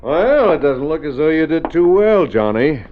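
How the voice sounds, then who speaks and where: Teasing easygoing pal, lively up-and-down voice, dull old radio sound. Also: male voice, a little high, normal speed, slight background noise. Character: teasing friendly male friend. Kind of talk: 1950s radio drama acting. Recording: muffled old radio recording.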